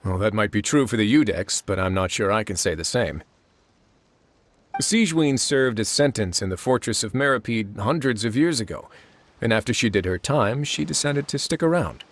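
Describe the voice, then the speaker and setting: An actor playing an easygoing male prison warden who talks in a relaxed, dry, casual way. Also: on the low side, normal speed.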